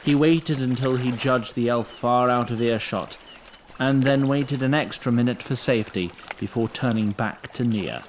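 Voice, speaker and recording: A man narrating a fantasy audio drama in a measured, literary storytelling manner with wry touches. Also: mid-range voice, a little hiss or hum.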